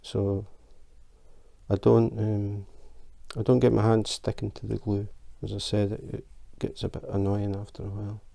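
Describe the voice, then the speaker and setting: A Scottish man with a gravelly, warm voice that sits a little low. He talks through a crafting tutorial at an easy, chatty pace, dotted with ums and restarts. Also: monotone. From Scotland. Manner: chatty and unhurried, with fillers. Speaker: crafting channel host. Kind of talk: casual tutorial.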